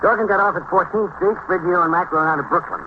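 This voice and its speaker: A man acts out a determined detective captain in an old radio crime drama. He delivers clipped, factual reports at a quick pace. The recording is muffled and noisy. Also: high voice, lightly acted. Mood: matter-of-fact. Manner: clipped, matter-of-fact case reporting. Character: determined male safe squad detective captain. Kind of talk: 1950s radio drama acting.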